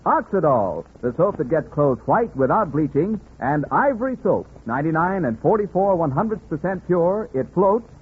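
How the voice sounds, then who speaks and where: A man's voice: a little high, very animated, moderate pace, few pauses, narrow, tinny audio, a little hiss or hum. An American man announcing in polished 1940s radio commercial style, like a salesman addressing listeners. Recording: thin, murky 1940s radio.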